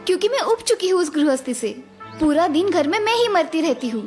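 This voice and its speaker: A woman's voice: very high-pitched, sing-song, brisk, a little mellow, soft background music. A woman playing a fed-up young village wife. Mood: complaining. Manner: complaining, sarcastic.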